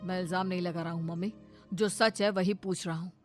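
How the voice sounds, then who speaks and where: A man's voice: high-pitched, steady tone, brisk, soft background music, natural voice. Exasperated young husband, acted by a man. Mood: reproachful. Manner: reproachful, exasperated. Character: exasperated young husband caught between mother and wife. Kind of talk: Hindi moral story cartoon acting.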